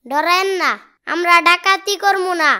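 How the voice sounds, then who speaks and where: Cartoon casting: cheeky, stubborn small boy, Bangladeshi accent, extremely high and sharp voice. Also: moderate pace, heavily acted. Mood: insistent. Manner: bold, argumentative, insistent.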